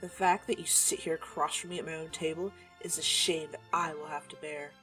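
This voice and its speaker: A woman's voice: low-pitched, fairly even intonation, fairly quick, a bit bright, faint music behind. A woman playing an imperious, scornful vampire aristocrat in an audio drama, haughty and theatrical. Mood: scornful, indignant.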